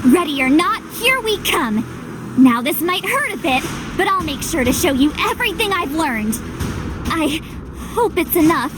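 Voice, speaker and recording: High-pitched plucky young heroine. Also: female voice, very animated, a little slow, noisy background, heavily acted. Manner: casual, youthful, plainspoken. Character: perky, earnest young heroine, casual and plucky. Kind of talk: scripted fantasy game acting. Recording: music behind.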